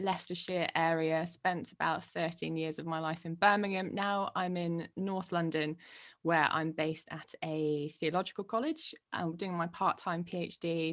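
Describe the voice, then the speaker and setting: A young woman with a low voice chats as a guest over a video call. She laughs often and pokes fun at herself. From Hungary. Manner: laughs often, chatty and self-deprecating. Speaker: guest author and PhD student. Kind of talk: casual interview chat.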